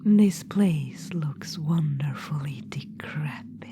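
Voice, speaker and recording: A woman's voice: low-pitched, slow pace, background noise. A woman whispering as a haughty witch-queen in an orb. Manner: soft whispering, regal and condescending. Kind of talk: ASMR audio roleplay.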